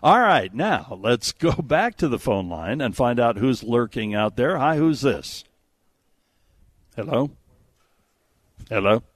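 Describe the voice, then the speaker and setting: A man's voice: medium pitch, sing-song, slow, long pauses, no echo, studio quality. An American man hosting a radio show, bantering and jokily teasing callers through a prize giveaway.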